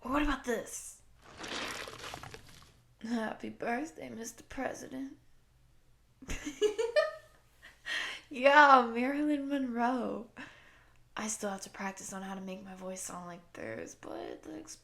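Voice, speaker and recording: A woman's voice: a little high, slow, creaky, pauses often, fairly bright tone, heavily acted. A woman voicing a goofy, cocky shapeshifting slime girl with a playful, casual manner in an audio roleplay. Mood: playful, boastful. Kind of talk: intimate roleplay addressed to the listener.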